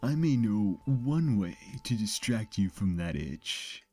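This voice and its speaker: A man's voice: lowish voice, sing-song, warm tone, soft background music, heavily acted. A man voicing a hesitant, affectionate stallion pony husband in a cartoon fan-fiction story. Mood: coaxing. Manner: hesitant, halting. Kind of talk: dramatized fan fiction reading.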